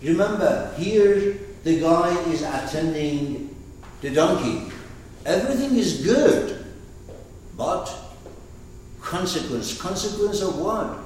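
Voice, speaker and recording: A man's voice: high-pitched, a little mellow, a little hiss or hum. A man with an Arabic accent explaining terms to a class, rambling and asking the room questions. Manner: digressive, asks the room questions. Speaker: teacher. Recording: roomy, far from the mic.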